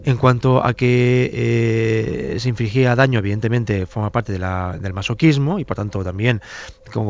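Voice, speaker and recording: A man giving analytical expert commentary, formal and hesitant, often repeating and circling back over his phrases. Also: fairly low pitch. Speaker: expert commentator on criminal psychology. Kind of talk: halting analytical interview commentary. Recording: dull, band-limited audio.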